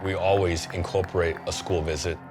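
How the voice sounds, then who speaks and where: A man's voice: deep voice, little pitch movement, brisk, a crowd in the background. A man speaking sincerely and conversationally in an interview. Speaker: veterans' foundation founder. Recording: interview with loud music under it.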